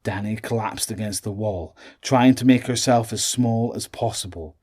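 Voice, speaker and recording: A man's voice: lowish voice, moderate pace, a little mellow, husky. Man narrating horror drama over music.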